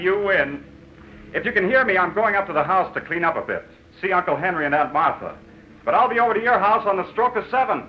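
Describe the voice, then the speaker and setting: An actor playing an earnest, lovestruck young soldier home from war, in theatrical old-time radio drama style. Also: male voice, sits high, lo-fi sound. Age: young adult. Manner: theatrical, drawn-out, dramatic delivery. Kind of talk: old-time radio drama acting, narrated first person. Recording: noisy, band-limited old radio recording.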